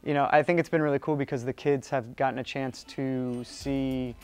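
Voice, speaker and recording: A man who teaches theatre, calmly explaining a student writing and staging program in an interview. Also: medium pitch, clean tone. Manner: measured, explanatory.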